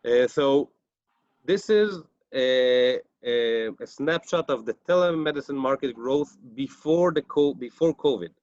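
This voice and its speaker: A man with an Israeli accent presents over a video call, pausing often on fillers as he goes. His voice sits at a middle pitch. The sound is dry and a little dull, like a home call setup. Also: animated, a little mellow, no echo. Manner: halting, many fillers. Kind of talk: conference presentation.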